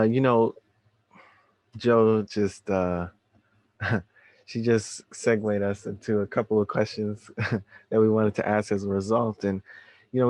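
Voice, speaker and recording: Podcast host: easygoing man with a fairly low voice, dry recording. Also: no room sound. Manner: relaxed, affirming, laughs lightly. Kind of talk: casual interview talk.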